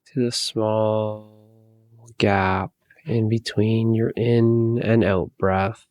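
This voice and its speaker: A man leading a guided meditation, giving calm, soothing, unhurried instructions with long pauses. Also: on the low side.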